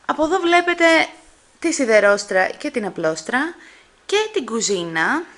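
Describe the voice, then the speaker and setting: Chatty young woman vlogging at home. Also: on the high side. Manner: chatty and excitable, quick asides to viewers. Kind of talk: casual vlog chat to camera. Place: family home indoors. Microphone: handheld camera at arm's length.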